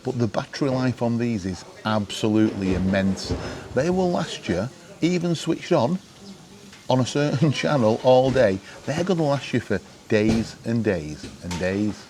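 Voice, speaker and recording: A man's voice: medium pitch, expressive, measured pace, darkish tone, a little hiss or hum. A middle-aged British man presenting to camera in an informal, wry, chatty way, often putting questions to the viewer. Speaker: vlogger presenting gadget advice.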